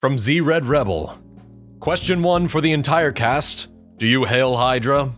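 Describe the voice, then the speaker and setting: A man's mid-pitched voice comes through very dry, with light music behind it. He reads questions as a co-host and slips into scripted banter. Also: a little slow, a bit bright, no echo. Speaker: question reader, stand-in co-host.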